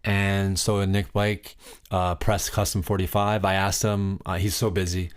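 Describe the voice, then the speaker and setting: A man from Canada, a DJ guest in a podcast interview, speaking in a monotone, rambling way. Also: sits low, unhurried, smooth. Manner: rambling, with many fillers and restarts. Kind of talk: casual interview chat.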